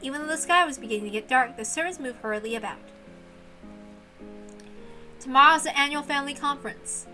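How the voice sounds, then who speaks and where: Woman reading a visual novel out loud, high bright voice, expressive storytelling. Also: a little fast, long pauses, faint music behind. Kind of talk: expressive scripted story narration.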